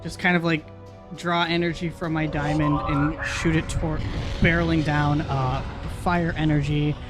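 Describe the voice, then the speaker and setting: A man playing in a tabletop role-playing game, chatting casually and thinking his moves out loud in a hesitant way. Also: on the high side, level tone, normal speed. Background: music.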